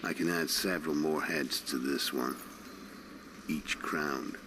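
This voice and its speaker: An actor playing a huge, calm, menacing assassin in a video game, gravelly and ominously measured. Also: male voice, extremely low pitch, background noise. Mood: cold, ominous.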